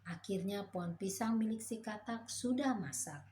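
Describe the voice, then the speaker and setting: A woman narrating a children's fairy tale in a slow, clear storybook manner. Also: medium pitch, mellow, a little hiss or hum.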